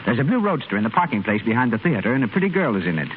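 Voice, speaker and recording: Male announcer, vintage radio pitchman, very lively intonation, muffled recording with light hiss. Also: very fast.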